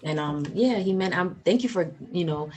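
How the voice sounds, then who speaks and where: A woman's voice: fairly low pitch, animated, moderate pace, darkish tone, a little hiss or hum. A woman in her twenties hosting a podcast in casual, upbeat chat, full of "like" and "um". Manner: chatty, upbeat, lots of "like" and "um". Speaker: podcast host.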